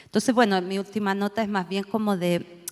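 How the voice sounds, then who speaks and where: A woman's voice: medium pitch, fairly even intonation, normal speed, a little mellow. Thoughtful Chilean woman in a reverberant hall. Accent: Chilean Spanish. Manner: thoughtful, searching, many filler sounds. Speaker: workshop panelist, policy analyst and evaluator. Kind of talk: spontaneous panel remarks.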